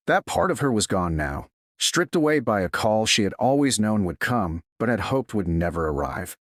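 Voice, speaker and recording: A man reading scripted dramatic fiction as a narrator, in a measured, solemn way. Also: fairly low pitch, very animated, fairly bright tone, no room sound, clean studio sound. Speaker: story narrator.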